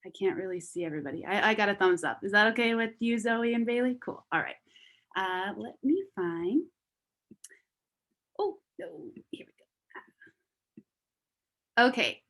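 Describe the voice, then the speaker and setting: A young woman from Las Vegas, a student, hosting a relaxed online welcome session on a video call and chatting with many fillers. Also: medium pitch, moderate pace, pauses often. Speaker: wellness committee member hosting a video call. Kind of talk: casual online presentation.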